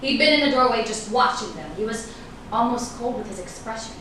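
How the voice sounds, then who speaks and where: In a very echoey room with clear noise, a guarded, ambitious woman played by a stage actress speaks with dramatic emphasis. Her high voice moves widely as she holds an old flame at bay in a staged drama reading. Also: animated, a little fast, fairly bright tone. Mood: intent, storytelling. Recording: echoey room, distant mic, noisy.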